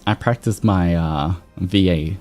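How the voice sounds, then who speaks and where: A man's voice: low-pitched, unhurried, darkish tone. A man hosting a livestream, chatting casually to his viewers in a laid-back, rambling way. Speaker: livestreamer, VTuber host. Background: background music.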